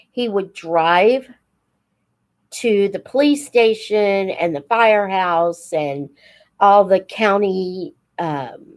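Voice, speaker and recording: An American woman in her seventies reminiscing informally as host of a live stream, halting and reflective, pausing mid-sentence and voicing remembered dialogue. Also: medium pitch, a little mellow. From United States. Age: 70s.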